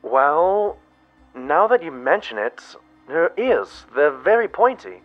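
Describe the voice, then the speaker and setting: An actor playing an earnest, hesitant, polite sidekick in an audio drama. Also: male voice, a little high, very animated, takes it slowly, phone-quality sound, faint music behind.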